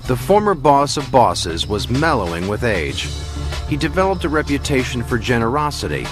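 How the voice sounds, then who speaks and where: A man narrating a documentary script in a measured, dramatic way. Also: mid-range voice, very animated, music in the background.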